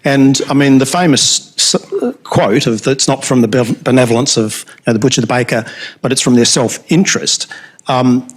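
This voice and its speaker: A man in the audience at a panel discussion, making a long comment in a measured, searching way. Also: mid-range voice, rough recording. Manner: measured, searching, slows down to make a point. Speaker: audience member.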